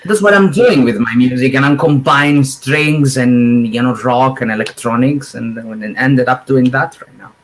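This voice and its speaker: A man's voice: medium pitch, normal speed, hardly any pauses, a little hiss or hum. A man, chatting as a guest on a video call, rambling with many fillers. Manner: rambling, fillers like "you know", swears casually. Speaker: guest musician. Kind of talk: casual video chat interview.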